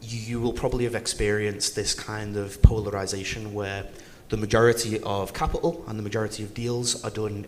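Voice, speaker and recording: A man's voice: on the low side, long reverb tail, a little hiss or hum. A man with a toned-down Liverpool accent, speaking as a panelist and sharing personal experience in a candid, anecdotal way. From northwest England. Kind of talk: conference panel discussion.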